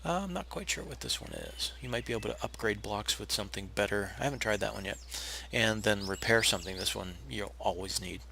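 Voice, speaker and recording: A hoarse, raspy man who is feeling unwell, casually talking viewers through a game build step by step with plenty of pauses and restarts. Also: fairly low pitch, slight background noise. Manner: relaxed, explanatory, with frequent uh fillers and restarts. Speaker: game tutorial narrator. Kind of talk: casual step-by-step gameplay tutorial.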